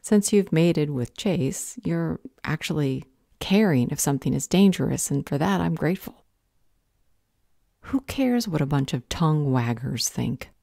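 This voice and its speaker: A motherly vampire healer, acted by a woman, low and soft-toned. She speaks in a measured, earnest way, as a calm and protective mentor in an audio roleplay. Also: vocal fry, no echo, studio quality, full character voice. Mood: caring, reassuring.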